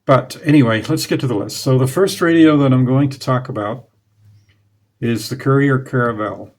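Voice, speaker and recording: A man's voice: mid-range voice, darkish tone. A man in his forties, hosting his own hobby channel, talks casually to the camera in a small room through a lapel mic, plain-spoken and nostalgic, with a few fillers. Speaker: radio hobby channel host. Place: small room indoors in front of curtains. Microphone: clip-on lapel mic.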